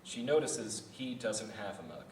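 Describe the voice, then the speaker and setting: A man's voice: fairly low pitch, animated, normal speed, a little mellow. A man narrating stage directions from a script at a live table read. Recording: echoey room from far off, noisy.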